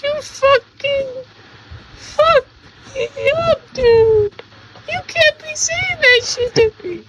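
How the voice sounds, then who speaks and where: A man voicing a tiny, whiny, easily offended little man in an improvised comedy podcast, with a small, thin, squeaky voice. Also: takes it slowly, background noise. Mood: offended, angry. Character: tiny two-inch-tall touchy little man named Joey.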